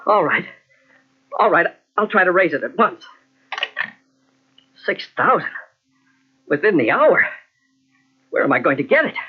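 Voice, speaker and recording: An actor playing an anxious, weak-willed gentleman, flustered and exclamatory, in an old-time radio mystery. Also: sits high, very animated, a little slow, pauses often, breathy edge, faint music behind, exaggerated voice. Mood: alarmed. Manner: flustered, exclamatory, talking to himself. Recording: dull lo-fi old radio cassette recording.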